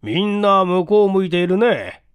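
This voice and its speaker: A man's voice: high voice, very animated, normal speed, smooth, dry recording, clean studio sound, voice pushed up. A man voicing an authoritative, probing police chief in a mystery audiobook, with commanding, old-fashioned official speech. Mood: startled, puzzled. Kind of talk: dramatic audiobook character acting.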